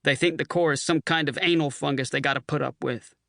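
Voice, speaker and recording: A man voicing a brash, boastful, hard-drinking Marine colonel with a loud, swaggering delivery. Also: a little high, fairly even intonation, fairly quick, gravelly, no echo, clean studio sound. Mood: lecturing.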